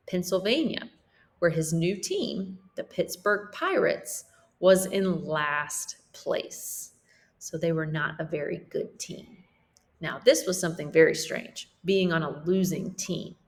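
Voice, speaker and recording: A woman teacher reading a storybook aloud to pupils, pausing to explain things simply for children. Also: on the low side. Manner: explains simply, checking in with listeners.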